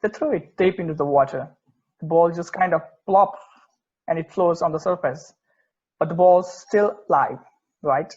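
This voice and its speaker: An earnest, opinionated sports purist played by a man talks in a slightly high voice. The sound is dull and lo-fi. The delivery is a conversational script reading, emphatic, with the odd stumble. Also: moderate pace, a little mellow, close to an everyday voice. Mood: explanatory, bemused. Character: opinionated purist football fan, earnest and particular. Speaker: amateur actor in a remote table read.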